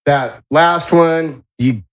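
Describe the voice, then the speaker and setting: A man's voice: a little high, very slow. A man acting as game master, casually narrating a tabletop fantasy game with improvised, descriptive play-by-play.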